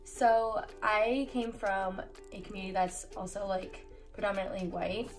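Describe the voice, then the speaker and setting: A woman's voice: medium pitch, steady tone, a little slow. A twenty-one-year-old woman explaining things plainly in a casual interview. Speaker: college student, sorority member. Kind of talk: casual personal interview answers. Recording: distant mic, music underneath.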